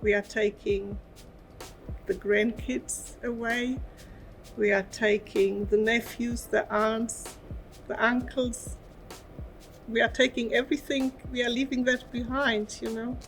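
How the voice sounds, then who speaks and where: Woman, flat, even delivery. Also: a little high, slow, low-quality recording. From Germany. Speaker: interviewee. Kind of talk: personal interview. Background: background music.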